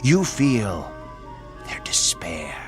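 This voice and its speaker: Creepy polite villain in a radio drama, taunting, very swoopy intonation, music behind. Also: male voice, on the low side, very animated, exaggerated voice. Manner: taunting, deliberate, drops into whispers. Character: sinister male tormentor, coolly mocking, menacingly polite. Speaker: actor.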